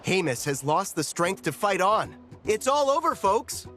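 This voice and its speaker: A woman's voice: medium pitch, very animated, a little slow. A woman playing a showy battle commentator announcing results in a video game. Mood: dramatic, announcing. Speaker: match commentator. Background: very loud background music.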